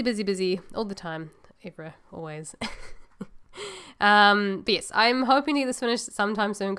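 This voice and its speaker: A chatty woman talks to her viewers over a drawing video, her voice stuffy and nasal from a cold. Despite the sore throat, her tone stays smooth and clean, with a mid-height pitch. She rambles in an upbeat, friendly way. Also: a bit bright. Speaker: illustrator vlogger. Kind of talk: casual voice-over chat to viewers.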